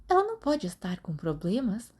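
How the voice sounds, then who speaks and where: A woman's voice: mid-range voice, wildly swooping pitch, normal speed, darkish tone, husky, pitched above the performer's own voice. A woman with a Brazilian Portuguese accent voicing a chatty young witch who whispers with concern. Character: young girl, chatty and fussing.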